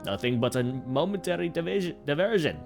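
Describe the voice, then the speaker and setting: A man doing a gentle tea shopkeeper with a Russian accent. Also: fairly high pitch, lively intonation, brisk, vocal fry, faint music behind. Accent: put-on Russian. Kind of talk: reading game dialogue aloud.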